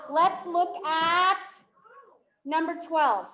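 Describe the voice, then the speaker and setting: A woman's voice: very high voice, very slow, sharp tone, echoey room, dull audio. A woman with a New York accent teaching a fifth-grade math class, working problems aloud and quizzing her students. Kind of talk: live classroom math lesson.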